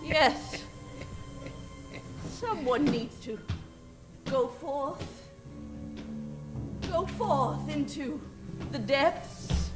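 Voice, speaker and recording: Actress as shy female alien, very high, drawn-out delivery, radio spoof over music. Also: lively intonation, exaggerated voice, low-quality recording. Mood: hesitant, breathless. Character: timid tentacled alien ballerina. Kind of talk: comic old-time radio acting.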